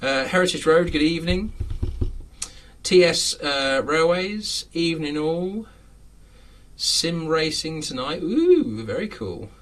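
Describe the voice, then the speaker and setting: A man's voice: fairly high pitch, sing-song, slow pace, fairly bright tone, recorded from a distance. A man in his late thirties, hosting a casual live chat from his home model railway room, rambling with lots of fillers and frequent chuckles. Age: 30s.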